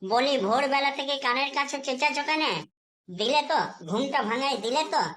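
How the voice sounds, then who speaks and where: A woman voicing a nagging, suspicious housewife in a comedy cartoon, scolding and sarcastic, quick to take offence. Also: high-pitched, fairly bright tone. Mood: irritated, offended.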